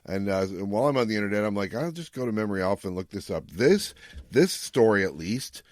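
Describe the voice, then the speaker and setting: A man's voice: fairly low pitch, expressive, quick pace, a little mellow, no room sound, studio quality. A man hosting a podcast in casual chat, explaining things in a rambling, self-correcting way. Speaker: podcast host.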